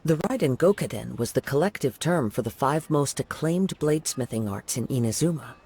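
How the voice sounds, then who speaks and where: Calm courteous young samurai. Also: male voice, mid-range voice, fairly quick, husky. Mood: composed. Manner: calm, formal, soft-spoken. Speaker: wandering samurai. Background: game music.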